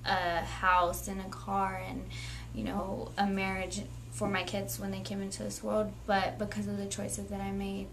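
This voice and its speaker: A 21-year-old woman talks through her experience in an earnest, plain-spoken way. Her voice sits at an ordinary pitch and barely rises or falls. A faint hum of noise runs under it. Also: completely flat delivery, measured pace, a bit bright, rough recording. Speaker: young mother telling her story. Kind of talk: candid personal testimony.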